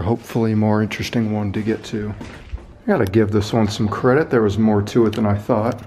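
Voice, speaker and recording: A man narrating his own exploration of abandoned buildings in a dry, wry, deadpan way, filmed on a handheld camera. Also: fairly low pitch, a little hiss or hum. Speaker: urban explorer vlogger. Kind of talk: casual solo exploring commentary. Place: abandoned buildings and overgrown fields, indoors and outdoors.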